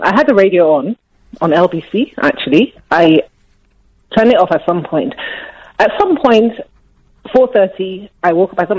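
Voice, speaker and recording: A woman's voice: on the low side, darkish tone. A middle-aged woman with a Nigerian accent calls in to a radio show. She is upset and emotional, repeating herself and restarting her sentences as she talks. Her voice comes through a muffled phone line. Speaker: caller.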